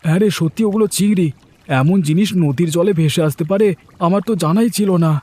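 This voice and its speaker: A man's voice: high-pitched, fast talker, mellow. A man voicing an earnest, worried young village farmer in a cartoon. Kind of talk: cartoon voice acting.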